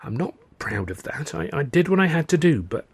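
Man voicing an earnest young woman, husky. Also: a little high, sing-song, a little fast, pitched above the performer's own voice, heavily acted. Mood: bitter, defensive. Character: loving, weary, firm young woman pleading and teasing. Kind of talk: acted audiobook dialogue.

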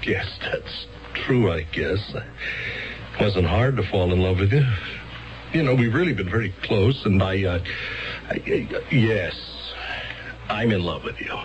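An actor playing a cynical, easily exasperated American defense lawyer in a 1970s radio drama, colloquial, wheedling and prone to stammering. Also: male voice, fairly low pitch, animated, measured pace, pauses often, lo-fi sound, soft background music. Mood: hesitant, tender.

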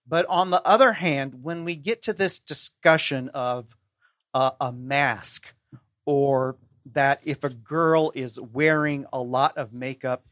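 A man's voice: mid-range voice, fairly even intonation, fairly bright tone, no echo. Opinionated man, dry close-miked podcast sound. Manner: opinionated, emphatic, deliberate pauses. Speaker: podcast guest. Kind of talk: conversational book commentary.

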